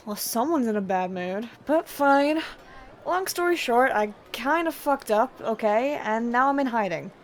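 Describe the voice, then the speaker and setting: A young woman playing a cheeky, chaotic prankster muse in a fantasy audio drama, loud and slangy, with sudden excited jumps in pitch. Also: measured pace, a little hiss or hum. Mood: defiant. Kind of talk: fantasy audio roleplay acting.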